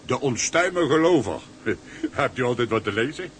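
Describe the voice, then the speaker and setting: An actor playing a cheery, coaxing ship's cook and fixer in a radio drama, talking colloquially. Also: male voice, mid-range voice, lively intonation, normal speed, fairly bright tone, slight background noise, natural voice. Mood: salesmanlike, jovial.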